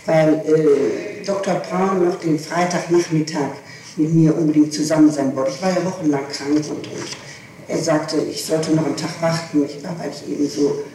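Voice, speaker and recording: A woman's voice: low-pitched, fairly even intonation, moderate pace, dark tone. A woman answering questions as a suspect in a police interrogation, giving her account with insistent precision about dates and times. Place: reverberant room, far from the microphone. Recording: echoey, distant, noisy archival tape recording.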